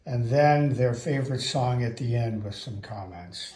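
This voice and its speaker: A grandfather in his seventies, casually showing his home music memorabilia in a darkened room, fond and unhurried. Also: mid-range voice, mellow, roomy sound. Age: 70s. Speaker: grandfather hosting a family music event. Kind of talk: casual home video tour.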